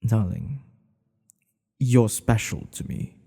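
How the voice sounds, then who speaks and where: A man playing a tender, devoted king in an intimate ASMR roleplay, speaking in an earnest, hushed, halting way. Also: lowish voice, animated, creaky, slightly rough, long reverb tail, exaggerated voice. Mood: tender, confiding. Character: tender, devoted male monarch hiding a secret lover.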